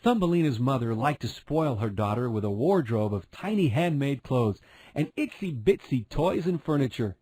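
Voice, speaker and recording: A man's voice: medium pitch, very animated, moderate pace, dark tone, no room sound. A man narrating a fairy tale as a scripted storyteller.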